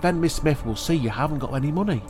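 A man voicing a boy's gentle, troubled, reasonable aunt in a children's story, with a mild, placating manner. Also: a little high, expressive, fast talker, voice pushed up, lightly acted.

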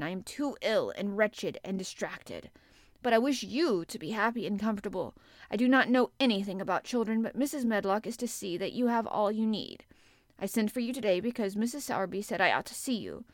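A woman voicing a miserable middle-aged gentleman in an audiobook, with a worried, absent-minded and fretful manner. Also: mid-range voice, animated, a little fast, no echo, studio quality, close to an everyday voice. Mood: worried, exclaiming. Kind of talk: audiobook character acting.

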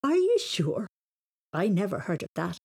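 A woman's voice: mid-range voice, very animated, measured pace, creaky, darkish tone, breathy edge, exaggerated voice. A woman voicing an earnest, anxious young boy who gets easily upset, in a children's audiobook. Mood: astonished. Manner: exclaiming, plaintive, hesitant.

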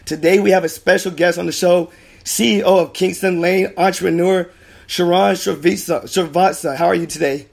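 A young man hosting a podcast interview, his voice hoarse and partly lost, talking in a hyped, informal way with plenty of fillers. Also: high voice, steady tone, normal speed. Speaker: podcast host. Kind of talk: casual interview chat.

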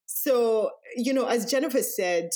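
A woman from Uganda with a Ugandan accent, speaking as a podcast guest in a reflective, measured way. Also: a little high, lively intonation, mellow. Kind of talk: podcast interview.

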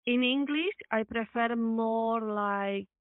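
A woman's voice: a little high, takes it slowly, clear, resonant tone, dry recording. A woman from Poland with a Polish accent, speaking English as a second language in a casual, chatty way, often repeating and rephrasing herself. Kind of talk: casual chat. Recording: thin phone-like call audio.

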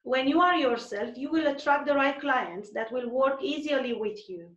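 High-voiced woman on an echoey lo-fi call. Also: normal speed, a bit bright. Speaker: host. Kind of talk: casual interview chat.

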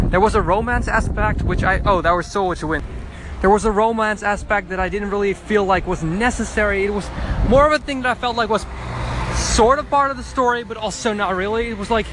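A man's voice: sits high, fairly bright tone, slight background noise. A young man recording a casual audio diary on his phone while he waits outdoors at a bus stop, chatty and rambling with lots of restarts. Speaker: podcast host.

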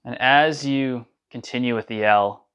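A man leading a guided sound meditation, speaking in calm, unhurried instructions between drawn-out chanted syllables. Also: medium pitch, smooth, muffled recording. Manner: slow, soothing guidance with drawn-out chanted syllables.

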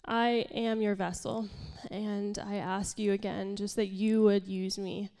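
A woman's voice: mid-range voice, flat delivery, a little slow, dark tone, in a large hall. A young woman pastor giving an informal, candid sermon, sounding congested and nasal, chatty and self-deprecating with lots of fillers. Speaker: pastor and worship leader. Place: church hall.

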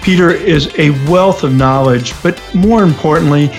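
A man hosting an interview podcast, introducing and questioning in an earnest, measured way. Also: fairly high pitch, faint music behind. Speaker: podcast host.

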